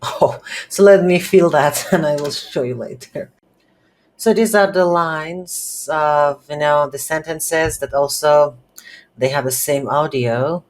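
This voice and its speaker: Slow, halting non-native English coding instructor. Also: medium pitch. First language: not English. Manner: halting, thinking aloud while working. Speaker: game development tutorial instructor. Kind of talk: unscripted screen-recorded coding tutorial. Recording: noisy, with keyboard typing. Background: computer keyboard typing.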